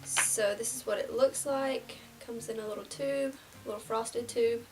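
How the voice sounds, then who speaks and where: A young woman in her twenties, slightly nasal from a cold, chatting casually through a get-ready-with-me beauty vlog and dropping to soft half-whispers as she works. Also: fairly high pitch, fairly even intonation, normal speed, recorded from a distance, over loud music. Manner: casual and rambling, drops to near-whispers while applying makeup. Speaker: beauty vlogger. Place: small indoor room before a plain backdrop.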